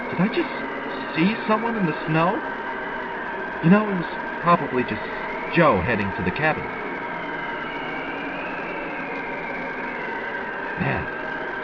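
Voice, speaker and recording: A man's voice: high voice, heavy background noise. A man playing a weary, reluctant businessman in an old-time radio drama. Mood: uneasy. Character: weary, wry travelling businessman, reluctant and uneasy. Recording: muffled, distant, loud music behind.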